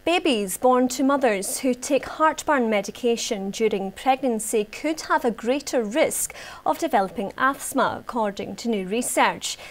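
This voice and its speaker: A woman's voice: fairly high pitch, animated. A Scottish woman in her thirties reading scripted news formally as a studio presenter. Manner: formal, measured delivery. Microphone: lapel mic.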